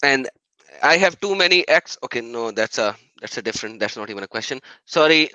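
A man's voice: mid-range voice, animated, fairly quick, pushed and bright, no room sound, muffled recording. A man with a Pakistani accent hosting a live stream, reading viewer questions, joking and laughing. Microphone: video call.

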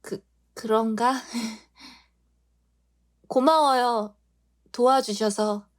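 A woman playing a shy young woman who helps at her mother's flower shop, speaking softly. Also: a little high, fairly even intonation, very slow. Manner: soft-spoken and shy.

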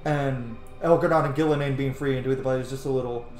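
A man's voice: medium pitch, steady tone, fast, darkish tone, echoey room. A man in his thirties giving casual commentary on a game he is playing, recorded indoors at home. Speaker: gamer narrating a playthrough. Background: game music faintly behind.

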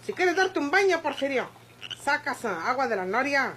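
A plain-spoken young countrywoman in a golden-age radio drama. Her voice is high and bright, with a Mexican accent and a forthright delivery. The old recording carries faint noise. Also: big pitch swings, moderate pace. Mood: hospitable. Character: spirited, plain-spoken young ranch woman.

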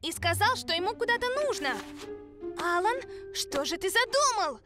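Determined young girl, forceful, extremely high pitch, animated adventure scoring. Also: moderate pace, over music. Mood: serious, puzzled. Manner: forceful, questioning. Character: determined, stern young girl guarding relics. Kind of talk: animated series dub.

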